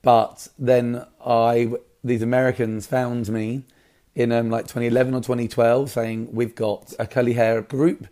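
Mellow, slightly raspy man chatting in an interview. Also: mid-range voice, little pitch movement, measured pace. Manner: enthusiastic. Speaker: hairdresser, salon owner, interview guest. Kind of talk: casual interview talk.

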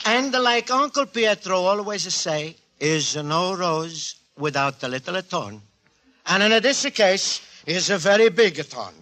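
Animated, bright-voiced Italian immigrant shopkeeper. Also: male voice, sits high, slow, full character voice. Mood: fond, chatty. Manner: broken English, earnest, expressive. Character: naive, cheerful Italian immigrant antique dealer, earnest man. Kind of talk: 1940s radio comedy acting. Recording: 1940s radio recording, live studio audience.

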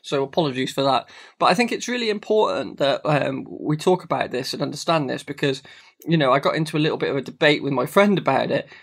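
A man with a cold, talking casually to camera about business responsibility, repeating phrases and filling pauses with ums. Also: medium pitch, expressive, vocal fry. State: has a cold, sniffly. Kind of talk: casual advice monologue.

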